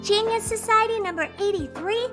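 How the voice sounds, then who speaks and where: A woman's voice: very high voice, fairly quick, music in the background. A woman voicing a haughty, blunt, self-important female genius who owns a space station, in an English video game dub. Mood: indignant, haughty. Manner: haughty, blunt, dismissive, easily intrigued.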